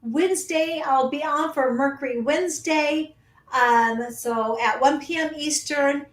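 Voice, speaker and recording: A high-pitched woman hosting a livestream in an echoey room. She talks in a loose, chatty way with plenty of ums and answers viewer comments. Her voice is wearing thin after a long broadcast. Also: a little slow. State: losing her voice. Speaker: astrology livestream host. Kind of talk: casual livestream talk.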